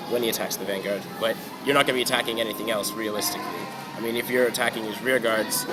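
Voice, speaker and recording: A man walking through his card game deck in a relaxed, offhand way, swearing now and then and saying he is sick and losing his voice. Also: mid-range voice, noisy background. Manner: laid-back, swears casually, trails off with fillers. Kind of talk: casual deck walkthrough. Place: hobby store.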